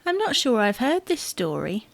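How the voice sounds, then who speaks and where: A woman playing an anxious, fussing wife who is quick to contradict in a domestic audio drama. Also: fairly high pitch, sing-song, gravelly, dry recording. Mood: chatty, curious. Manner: fretful, insistent. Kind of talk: domestic comedy-drama dialogue.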